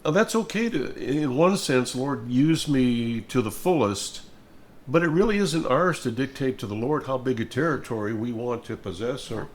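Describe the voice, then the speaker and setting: A man's voice: mid-range voice, animated, moderate pace, a little hiss or hum. An older man in an earnest, reflective devotional conversation, speaking in a preachy way and repeating phrases for emphasis.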